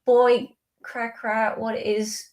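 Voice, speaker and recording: A woman's voice: on the high side, flat delivery, very slow, darkish tone. A woman chatting casually as host of a livestream.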